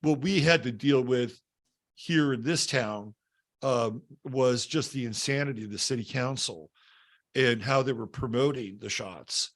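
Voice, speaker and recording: A man with a middle-pitched voice speaks as a podcast host. He tells personal stories in a conversational way, now and then tagging a point with a short question to check for agreement. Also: a little slow.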